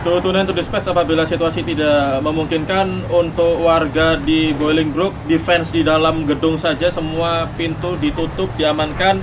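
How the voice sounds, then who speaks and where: A man's voice: on the high side, little pitch movement, normal speed, few pauses. A man with an Indonesian accent playing a police lieutenant in online game roleplay, talking over radio and chat. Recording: clear vehicle noise in game. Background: game vehicle and ambience sounds.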